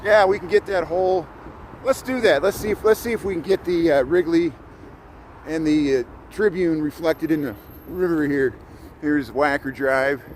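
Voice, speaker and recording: A man's voice: a little high, darkish tone. A middle-aged man from Indiana with a mixed Chicago-Midwestern accent, chatting casually with his viewers while walking city streets on a live stream, often repeating words. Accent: Chicago-Midwestern mix. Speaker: livestream host. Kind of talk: live walking tour chat. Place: outdoors on snowy city streets. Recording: street noise behind. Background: traffic and city noise.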